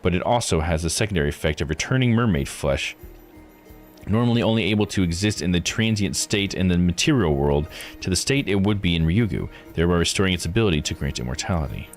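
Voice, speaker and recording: Man voicing a low, formal, calculating investigator. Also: expressive, fast. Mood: explanatory. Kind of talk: visual novel dialogue read aloud. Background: music.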